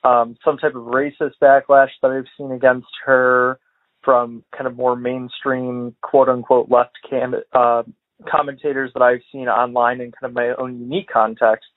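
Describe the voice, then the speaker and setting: Caller from Illinois, man, mid-pitched, hedging and thoughtful, tinny phone voicemail. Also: little pitch movement. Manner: measured, hesitant, many fillers and hedges. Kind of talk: voicemail commentary.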